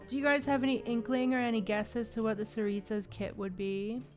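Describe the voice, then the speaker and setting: A woman's voice: a little high, steady tone, brisk, soft background music. A woman hosting a casual gaming livestream, chatting with viewers and thinking aloud in a playful, conversational way. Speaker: livestream host.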